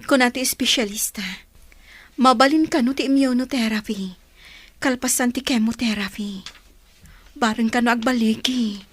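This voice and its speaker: An actress playing an earnest, devoted young woman with a breathy voice in a melodramatic radio drama. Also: on the high side, moderate pace, pauses often, lightly acted. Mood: earnest, worried. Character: devoted, earnest young woman supporting her sick sister.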